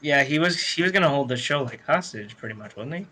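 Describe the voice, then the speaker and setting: A man's voice: on the high side, expressive, fast talker, bright, forceful tone. A man co-hosting a wrestling podcast, chatting casually and bluntly with some swearing. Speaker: podcast co-host. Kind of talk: casual wrestling review chat.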